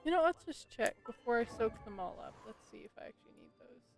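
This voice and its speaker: A woman's voice: on the high side, animated, quick pace, darkish tone. A woman commentating casually on her live gameplay, muttering and thinking aloud to herself. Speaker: game streamer. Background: video game music.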